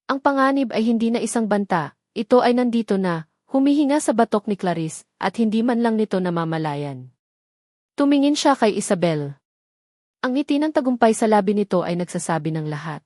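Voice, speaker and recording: A woman storyteller narrates a scripted romance in a dramatic style. Her voice sits at a medium pitch. The sound is dry, with no noticeable room. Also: animated, normal speed, darkish tone, no echo.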